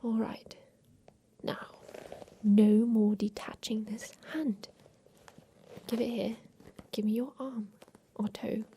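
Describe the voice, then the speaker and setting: A woman playing a tender, ailing inventor of a mechanical creature in a soft, whispered ASMR roleplay. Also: a little high, fairly even intonation, a little hiss or hum. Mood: gently fussing. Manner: slow, gentle, soothing, with pauses.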